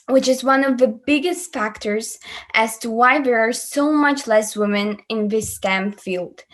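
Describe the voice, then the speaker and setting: A woman's voice: a little high, a bit bright. A young woman from Poland presenting in a student group, speaking carefully with small restarts.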